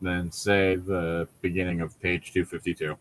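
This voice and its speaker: A man's voice: low voice, level tone, moderate pace. A man co-hosting a casual podcast, chatting in a rambling, digressive way with some swearing as he comments on a book. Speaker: podcast co-host. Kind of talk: casual chat and commentary while reading a novel aloud.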